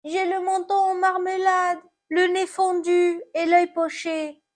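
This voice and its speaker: A woman voicing a battered apple from a children's poem, plaintive yet gently generous. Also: very high-pitched, completely flat delivery, takes it slowly, pitched above the performer's own voice. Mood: plaintive, hurt.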